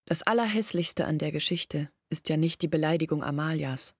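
An actress in a German radio play playing an earnest village woman who recounts events in a measured, literary way. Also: sits low, breathy edge, no room sound, clean studio sound. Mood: insistent.

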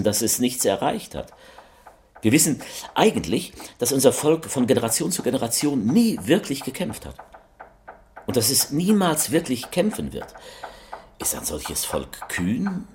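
A man playing a scornful revolutionary leader, speaking in deliberate rhetorical repetitions in a theatrical radio piece. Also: medium pitch, sing-song, a little mellow, a little hiss or hum. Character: scornful revolutionary leader belittling his own people. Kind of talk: theatrical political speech, radio collage.

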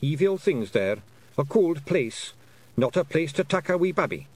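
Storybook pictsy chieftain played by a male reader: tiny, bold, Scottish, blunt, pitched up and very animated. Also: moderate pace. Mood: grave warning. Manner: blunt, emphatic dialect speech.

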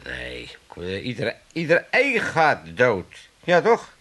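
Excitable old Amsterdam grouch. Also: male voice, medium pitch, slow, slightly rough, full character voice. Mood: argumentative. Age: older. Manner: blunt, grumbling, exclamatory. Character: cantankerous, blunt old Amsterdam father, excitable. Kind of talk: 1980s comedy radio play acting.

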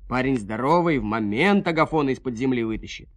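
A man's voice: medium pitch, animated, a little fast, lightly acted. Man voicing a scheming, commanding villainess. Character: scheming, commanding woman villain giving orders. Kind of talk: fairy-tale audio play.

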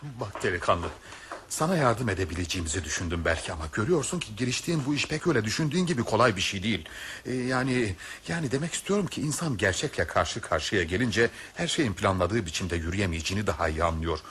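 A low-voiced, animated, anxious passenger. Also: male voice, quick pace. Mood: anxious pleading. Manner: emphatic, repeats phrases. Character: anxious, hesitant male passenger, well-meaning but doubtful. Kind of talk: Turkish radio theatre acting. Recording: noisy old radio drama recording.

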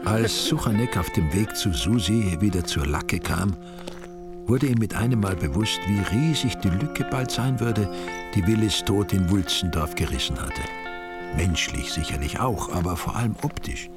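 A low-voiced male narrator of a village crime story. He tells it in a wry, dry, literary way, with music clearly behind him. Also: lively intonation, moderate pace. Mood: wry, measured. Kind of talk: scripted radio drama narration.

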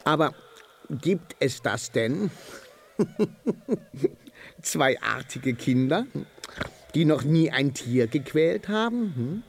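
Gravelly, blustering ancient Sandman. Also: a little high, lively intonation, slow pace, pauses often, a little hiss or hum, full character voice. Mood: mollified, musing. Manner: blustering, muttering, exclaiming. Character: ancient grumpy but good-hearted male Sandman of the star meadow. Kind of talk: children's radio play acting.

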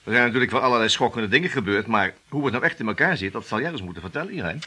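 An excitable, genial gentleman adventurer in a radio play. His pitch swings in a lively way and his tone is bright and forceful, with a husky grain. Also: rapid-fire. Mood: eager, puzzled. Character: genial, excitable gentleman caught up in spy intrigue. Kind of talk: radio drama acting.

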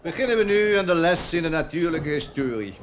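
A man playing a pedantic schoolmaster in a radio play, dictating deliberately and repeating his phrases. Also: on the high side, animated, slight background noise, close to an everyday voice. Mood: stern, didactic.

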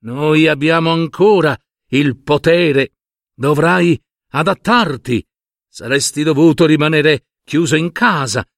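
A man's voice: on the high side, slow, no room sound, clean studio sound, voice pushed up. A man voicing a scornful, bossy winter wind that chides and taunts in a storybook tale. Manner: chiding, taunting.

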